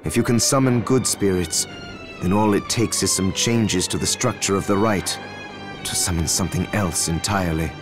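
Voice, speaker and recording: A man's voice: deep voice, normal speed, natural voice. A man playing an aloof, learned alchemist in a video game, speaking in a curt, formal, cool manner. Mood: cool, explanatory. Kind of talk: video game character acting. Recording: music behind the voice.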